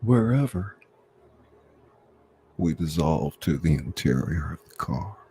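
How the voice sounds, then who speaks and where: Deep, gravelly, slow male narrator. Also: darkish tone, a little hiss or hum. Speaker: narrator, reader. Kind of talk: scripted narration of screenplay stage directions. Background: faint music.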